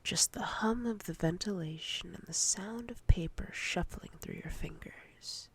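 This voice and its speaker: A woman playing a domineering, teasing female boss in a whispered ASMR roleplay, breathy and husky, speaking deliberately with seductive command. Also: mid-range voice, close to an everyday voice.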